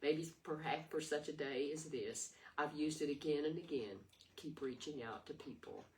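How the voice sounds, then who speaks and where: An older woman with a low, raspy voice preaches an earnest, homespun devotion, often pausing and restarting her thoughts. She sits a little away from the microphone, and a faint hiss runs under her words. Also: a little mellow. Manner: earnest, rambling with restarts and fillers. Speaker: devotional video host. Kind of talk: informal devotional sermon. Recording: distant mic with faint noise.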